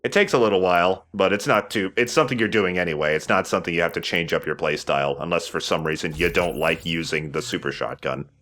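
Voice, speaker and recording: A man's voice: low-pitched, quick pace, few pauses, fairly bright tone, slight background noise. A man hosting a let's play, explaining the game casually with playful, jokey slang. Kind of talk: casual gameplay commentary and walkthrough.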